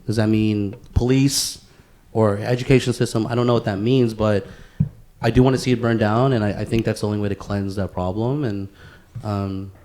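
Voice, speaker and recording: A man's voice: on the low side, steady tone, moderate pace, a little mellow. Slangy, swearing man chatting on a podcast. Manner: slangy, profane, peppered with "dude" and "bro". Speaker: podcast host. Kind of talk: casual podcast chat.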